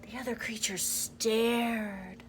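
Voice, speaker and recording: Woman, children's storybook narration, high pitched, expressive, deliberate phrasing, faint background noise. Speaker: storyteller reading aloud to children.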